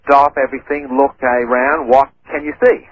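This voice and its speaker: A man's voice: medium pitch, little pitch movement, moderate pace. A man with a stutter calls in to a radio interview, talking at length and circling back over his own words as he explains. The line is muffled and dull, with a faint hiss underneath. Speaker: guest researcher. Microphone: telephone line.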